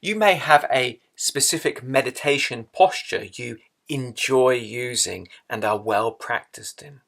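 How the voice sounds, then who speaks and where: Slow-speaking man guiding a meditation. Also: mid-range voice, vocal fry, a bit bright. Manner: slow, measured, with long pauses between phrases. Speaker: meditation guide. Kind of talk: guided meditation instruction.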